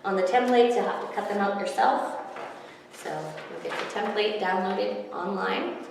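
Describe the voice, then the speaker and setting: A woman's voice: mid-range voice, moderate pace, gravelly. A woman in her thirties hosting a children's story time, reading expressively with casual asides. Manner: expressive, speaking to young children. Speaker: children's librarian reading picture books. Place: indoor room before a forest backdrop. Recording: echoey room, distant mic, faint noise.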